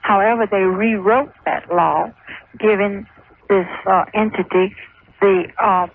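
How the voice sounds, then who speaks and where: An older woman from Louisiana calling a radio show, speaking in a halting, measured way. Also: mid-range voice, steady tone. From Louisiana, USA. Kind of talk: phone-in conversation. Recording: muffled phone line on radio.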